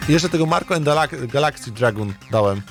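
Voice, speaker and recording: A man's voice: mid-range voice, animated, fairly quick, over music. A man chatting casually as a game streamer, thinking aloud in a hesitant, rambling way and often repeating his words. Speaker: streamer, let's play host.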